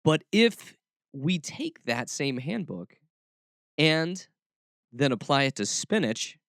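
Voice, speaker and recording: A man's voice: on the high side, sing-song, takes it slowly, vocal fry, a bit bright, no echo, clean studio sound. A man hosting a talk show, giving casual commentary peppered with wry, sarcastic asides. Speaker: podcast host.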